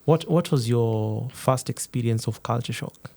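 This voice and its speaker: Mellow-voiced man interviewing a guest. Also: mid-range voice, unhurried. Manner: attentive, prompting with short questions. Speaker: podcast host. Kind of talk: interviewer, frequent backchannel.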